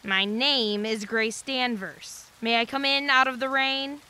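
A woman's voice: on the high side, steady tone, a little slow, pushed and bright, a little hiss or hum. An actress playing a polite, mysterious woman stranger in an audio drama, heard at a distance with rain. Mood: polite, insistent.

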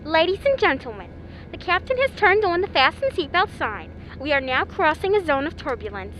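An actress playing a chirpy, unruffled flight attendant with breezy service patter in a comic radio drama. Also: female voice, very high voice, sing-song, normal speed, fairly bright tone, full character voice, low-quality recording.

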